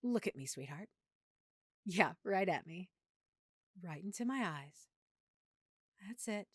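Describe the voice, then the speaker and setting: A woman's voice: on the low side, animated, slow pace, husky, no echo, clean studio sound, full character voice. A woman playing an affectionate, opinionated girlfriend who is secretly a vampire, in an intimate, conversational audio roleplay. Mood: tender, hushed, secretive. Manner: chatty, playful, geeky explaining.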